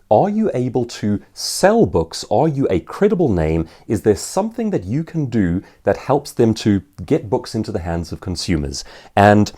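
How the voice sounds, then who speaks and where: Low, animated South African man. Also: breathy edge. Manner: articulate, explanatory, poses rhetorical questions. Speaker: author and professional speaker answering viewer questions. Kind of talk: instructional talk to viewers.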